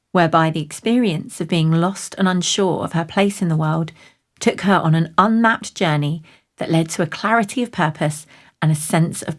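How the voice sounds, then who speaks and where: A woman hosting a podcast, calmly reading a scripted guest introduction and a short guided pause. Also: on the low side. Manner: calm, measured reading. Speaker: podcast host.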